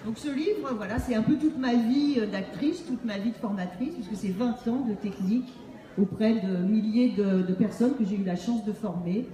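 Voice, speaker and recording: A woman's voice: on the high side, normal speed, mellow. A middle-aged woman presenting her book to an audience in a humorous storytelling style, speaking into a stage microphone. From Montreal, Canada. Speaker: author and acting-based speaking trainer. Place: on stage in a large book fair hall. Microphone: handheld microphone through PA, recorded by distant camera. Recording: echoey hall from far off, faint noise.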